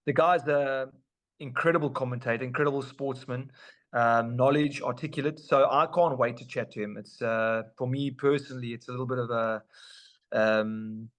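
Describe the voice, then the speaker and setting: Hyped co-host. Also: male voice, medium pitch, fairly even intonation, normal speed. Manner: warm, hyped, slangy. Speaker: podcast co-host. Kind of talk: casual podcast chat.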